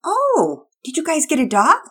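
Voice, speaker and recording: A woman's voice: fairly high pitch, very animated, normal speed, husky, pitched above the performer's own voice. A woman voicing a polite, friendly neighbor lady in a read-aloud story. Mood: gracious.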